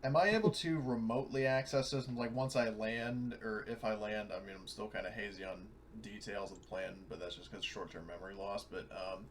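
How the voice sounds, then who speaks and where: A man with a fairly low voice talks as a player at a tabletop game. He rambles casually and loosely, with some faint noise around him. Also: a little fast, few pauses. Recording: noisy room ambience on recording.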